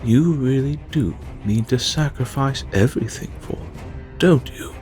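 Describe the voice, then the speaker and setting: A man playing a sardonic, amused villain who narrates an audio drama in a theatrical, mocking, drawn-out way. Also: mid-range voice, darkish tone, faint music behind, natural voice. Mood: mocking delight.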